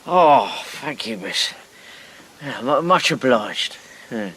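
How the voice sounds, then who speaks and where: A man's voice: medium pitch, animated, slow pace, fairly bright tone, husky, heavily acted. A shy stammering boatman. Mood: bashful. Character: shy rough working-class boatman. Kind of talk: radio drama acting.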